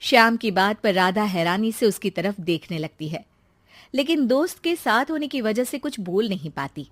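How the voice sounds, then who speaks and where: A woman's voice: medium pitch, animated, moderate pace, no echo. A woman narrating a scripted moral story for a cartoon in a storytelling style.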